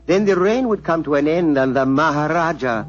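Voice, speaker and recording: A suave Indian prince, with a slightly high voice that rises and falls widely. He is courtly and formal, choosing ornate, deferential phrases in 1940s radio-drama style. The sound is dull, as on an old broadcast. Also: lively intonation, moderate pace, soft background music. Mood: grave storytelling. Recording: muffled 1940s radio recording.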